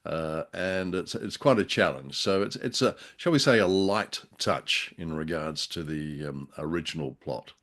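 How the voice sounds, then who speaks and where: A man's voice: low voice, measured pace, few pauses, fairly bright tone. An Australian man co-hosting a casual discussion of old radio drama, with a hesitant, wordy and playfully genteel way of talking. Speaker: co-host of a radio drama review show.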